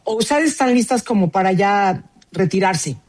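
A woman's voice: mid-range voice, animated, moderate pace. A woman author being interviewed on the radio, stating her views with conviction. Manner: warm, emphatic opinions. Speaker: guest author.

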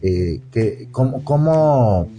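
A man with a Mexican accent hosting a conversational interview, repeating words haltingly as he forms his questions. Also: mid-range voice, lively intonation, over music. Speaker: interviewer, host.

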